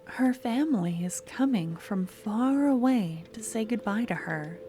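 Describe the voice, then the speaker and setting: A woman playing a sweet but obsessive young student stalker in an ASMR audio roleplay, soft, coaxing and a little awkward as she talks to the listener. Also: a little high, animated, unhurried, noisy background. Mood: gentle, instructive.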